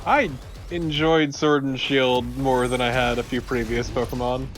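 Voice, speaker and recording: A man's voice: medium pitch, fairly even intonation, a little slow. A man co-hosting a let's play, commenting on the game in casual, sweary chat. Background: game music.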